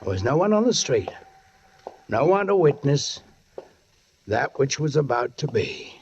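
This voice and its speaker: An actor playing a brooding, watchful London police sergeant who narrates in 1940s radio drama style. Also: male voice, medium pitch, very animated, a little slow, a little mellow, a little hiss or hum. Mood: brooding, suspenseful. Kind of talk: 1940s radio acting, first-person narration. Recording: dull 1940s radio recording, music behind.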